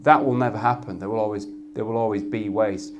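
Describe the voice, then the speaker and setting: A man with a Yorkshire accent and a loud voice, speaking as a guest on a live industry panel and often restarting his sentences as he thinks. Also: lowish voice, steady tone, brisk, music in the background. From Yorkshire, England. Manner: thoughtful, with frequent restarts and repeated words. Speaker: head of media effectiveness, guest. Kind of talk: panel discussion at an industry event.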